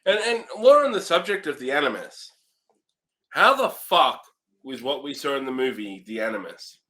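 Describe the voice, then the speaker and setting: A man co-hosting a casual film review, speaking in a halting, incredulous manner. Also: medium pitch, lively intonation, bright, forceful tone. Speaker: podcast co-host reviewing films.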